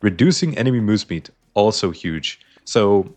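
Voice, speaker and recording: A man's voice: fairly low pitch, expressive, moderate pace, a little mellow, soft background music. A man casually commenting on a video game as he plays, explaining his choices, his voice a little strained. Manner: chatty, explains choices while playing. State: slightly strained voice. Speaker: gaming YouTuber.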